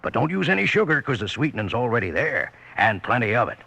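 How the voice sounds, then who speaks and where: An actor playing a hearty old cowboy announcer with a Western American accent and gravelly voice, giving a vintage radio pitch. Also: male voice, very animated, fast, pushed and bright, full character voice. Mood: hearty, folksy. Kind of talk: 1950s radio advertising pitch.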